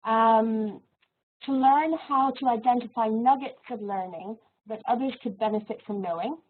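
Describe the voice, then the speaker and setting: A woman lecturer giving an online teaching webinar in a friendly, measured way, with soft laughs and fillers. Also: on the high side. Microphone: video call microphone. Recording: tinny phone-like audio.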